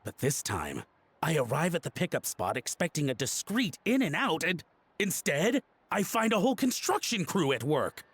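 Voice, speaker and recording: An actor playing a nervous, guilty antique collector in a video game, melodramatic and easily flustered. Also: male voice, a little high, big pitch swings, normal speed, a bit bright, husky, heavily acted. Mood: sheepish, confiding. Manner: flustered stammering and melodramatic pleading. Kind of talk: voice-acted game dialogue.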